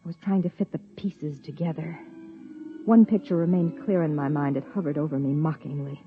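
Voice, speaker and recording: A wary, observant wife and biologist, talking in a measured, reflective way. Her voice sits a little low, with soft music under it. The sound is dull and lo-fi, like a 1950s radio broadcast. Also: fairly even intonation. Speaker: narrator and lead character. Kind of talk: 1950s radio drama acting.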